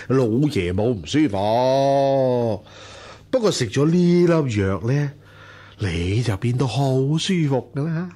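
Lively, swooping lecherous master. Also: male voice, mid-range voice, slow, breathy edge. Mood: lewd, coaxing. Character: lecherous, coaxing rich master of the household. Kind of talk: Cantonese radio drama acting.

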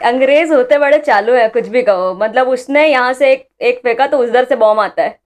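A woman's voice: high-pitched, normal speed. A young woman giving casual, mocking reaction commentary as a vlogger, exclaiming at what she watches.

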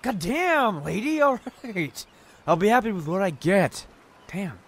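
A man plays a cocky thief with a fairly high voice that swoops wildly. He talks brashly and swears freely. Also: big pitch swings, a little slow, fairly bright tone, breathy edge, exaggerated voice. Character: cocky young male thief, brash and sly.

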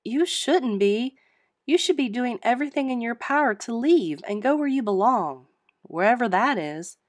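A woman voicing a flustered but firm middle-aged female innkeeper in a storybook, brisk and emphatic. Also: fairly high pitch, expressive, no echo, studio quality, pitched above the performer's own voice, close to an everyday voice. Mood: exasperated.

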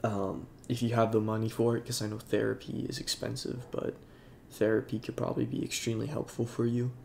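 A young man with a congested voice, talking in a halting, soft-spoken way with many fillers over game footage. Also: mid-range voice, little pitch movement, gravelly, a little hiss or hum. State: congested, sniffling. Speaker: YouTuber. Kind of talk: casual talk over gameplay.

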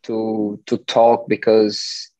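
A man's voice: fairly low pitch, monotone, extremely slow, mellow. A young man in his twenties from Brazil, speaking English with a Brazilian accent touched by northern English. He talks loosely and chattily on a video call from home, full of "like" and "you know". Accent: Brazilian with a northern English tinge. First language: Portuguese. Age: 20s. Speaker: footballer, interview guest. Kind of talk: casual interview over video call. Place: small room at home, plain wall. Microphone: laptop webcam video call. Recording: dull lo-fi video call audio.

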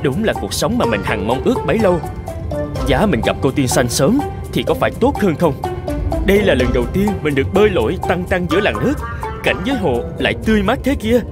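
Restless, wistful cartoon dog, played by a man: fairly high male pitch, very animated, eager, music underneath. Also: fast talker. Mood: musing. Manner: expressive, eager. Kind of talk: children's cartoon dubbing.

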